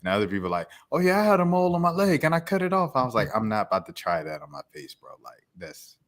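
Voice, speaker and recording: A man's voice: medium pitch, huge pitch swings, fast talker, fairly bright tone. A young man co-hosting a podcast, talking in a loose, rambling, slangy way with many restarts. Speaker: podcast co-host. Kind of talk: casual chat.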